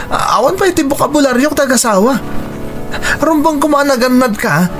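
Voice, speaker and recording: A man's voice: extremely high pitch, expressive, fairly quick. A callous young male lover with an Ilocano accent. He sounds evasive and dismissive, in radio drama style with light music underneath. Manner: defensive, dismissive. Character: callous, evasive young male boyfriend who disowns responsibility.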